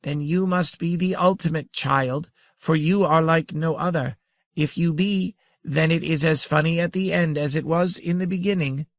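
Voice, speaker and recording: A man's voice: fairly high pitch, fairly even intonation, normal speed, no echo, pitched above the performer's own voice, lightly acted. A man voicing a tiny, ancient, giggling female creature who teases and chitters playfully. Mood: gleeful. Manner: tinkling, chittering, teasing.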